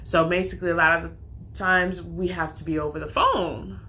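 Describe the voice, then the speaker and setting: Woman vlogger, storytime narration, low pitch, crisp forward tone, chatty and full of reported talk. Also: fairly even intonation, moderate pace, sharp tone, a little hiss or hum. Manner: chatty, retells talks with "I'm like" quotes. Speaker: vlogger and nursing student. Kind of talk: storytime retelling.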